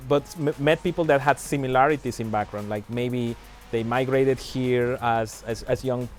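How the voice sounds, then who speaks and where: A man chats casually on a game livestream, often restarting his sentences and trailing off. His voice sits at an everyday middle pitch, and faint music plays behind him. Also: steady tone, normal speed. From Mexico. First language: Spanish. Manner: hesitant, many restarts and fillers. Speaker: livestream guest.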